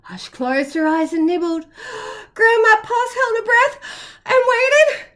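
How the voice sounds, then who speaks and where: A woman reading a picture book to young children in an animated, expressive way, with playful asides. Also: extremely high pitch, takes it slowly. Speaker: children's story reader, kindergarten teacher.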